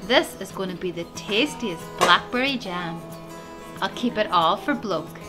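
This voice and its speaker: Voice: medium pitch, expressive, unhurried, over music, lightly acted. A woman voicing a fussy, bossy farm wife who makes jam in a homemade stop-motion cartoon. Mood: bustling.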